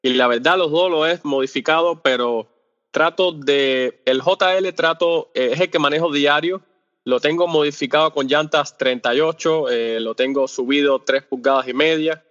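A man from Cuba with a Cuban accent, a podcast guest talking casually and haltingly, often pausing on fillers and restarting his sentences. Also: a little high, fairly bright tone. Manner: hesitant, searches for words with many fillers and restarts. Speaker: podcast guest, off-road video creator. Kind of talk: casual interview talk. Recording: dull lo-fi sound with long reverb.

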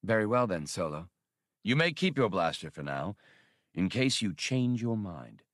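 A man acts out a suave, condescending space-adventure gunman. His low voice is courteous on the surface and mocking underneath. The intonation slides widely, and the sound is dry, as in an audiobook. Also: very animated, measured pace, a bit bright, no echo, full character voice. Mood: coolly threatening. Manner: polite, smooth, mocking formality. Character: suave, condescending male gunman villain, deadly calm.

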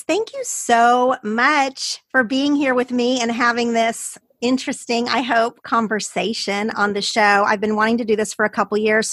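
A woman's voice: a little high, a bit bright. A woman in her thirties with an Oklahoma accent, introducing her podcast episode in an earnest, reflective way. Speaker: podcast host. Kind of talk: podcast intro then conversation.

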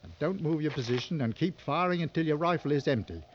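An actor playing a seasoned, coolly sardonic hunting guide in a mid-century radio drama, giving clipped, authoritative instructions. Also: male voice, on the high side, fast talker, close to an everyday voice. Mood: calm, instructing. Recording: dull old radio recording, noisy.

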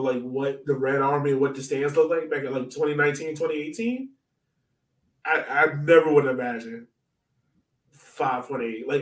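A man hosting a sports podcast, chatting casually as a fan in an excitable, slangy, informal way. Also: on the high side. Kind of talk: casual fan chat about soccer. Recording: roomy echo, distant from mic.

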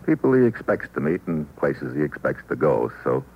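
An actor playing a cool, wry investigator who narrates his case in terse, deadpan slang, in an old-time radio noir drama. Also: very deep voice, normal speed, gravelly, breathy edge, full character voice. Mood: cool narrating. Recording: muffled, noisy vintage radio recording.

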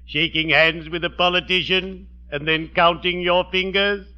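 An actor playing a genial undertaker with a solemn delivery, formal and morbidly courteous, in 1940s radio comedy style. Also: male voice, high-pitched, fairly even intonation, moderate pace, clean tone, close to an everyday voice. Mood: wry, theatrical. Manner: formal, flowery, lugubriously courteous. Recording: dull old radio recording.

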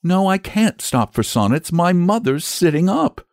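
Casting: male reader as frank young storybook boy, pitched way up, earnest, polite, very animated. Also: unhurried, dry recording, studio quality, full character voice. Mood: impatient. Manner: exasperated-sensible, polite, emphatic. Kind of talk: storybook character voice.

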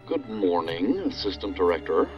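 A dutiful male task force agent reporting to a superior in a radio play, filtered as if over a lo-fi line. He is formal and guarded, yet his pitch moves a lot. Faint music runs behind. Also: sing-song, moderate pace. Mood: deferential, guarded. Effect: radio or phone line filter. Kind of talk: audio drama acting. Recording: dull band-limited call line, music underneath.

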